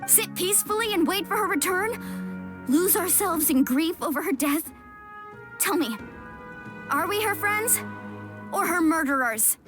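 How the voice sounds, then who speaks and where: A woman's voice: very high voice, lively intonation, unhurried, long pauses, over music. A woman voicing an earnest, emotional young female warrior in a game. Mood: angry. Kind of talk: game voice acting.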